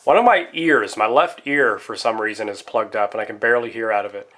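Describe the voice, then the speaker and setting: Bright-voiced man, stuffy from a cold. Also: lowish voice, a little fast. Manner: rambling, talks straight to viewers as guys. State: stuffy nose, slight lingering cough after a cold. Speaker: vlogger reviewing pocket knives. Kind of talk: casual chatty product vlog.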